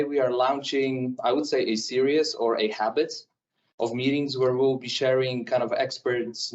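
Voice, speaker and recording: A man's voice: mid-range voice, darkish tone, far from the mic, muffled recording. A man hosting an event, introducing the speaker in a casual way that leans on filler phrases. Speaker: event organizer.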